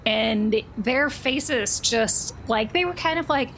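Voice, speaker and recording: A woman's voice: a little high, expressive, slow, vocal fry, fairly bright tone, a little hiss or hum. A woman casually narrating a tabletop roleplaying game as game master.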